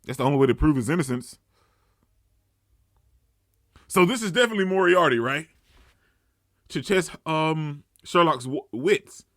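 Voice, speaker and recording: A man giving casual, off-the-cuff reaction commentary, thinking out loud as he goes. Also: a little high, animated, moderate pace, pauses often, no room sound, clean studio sound. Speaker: anime reaction host.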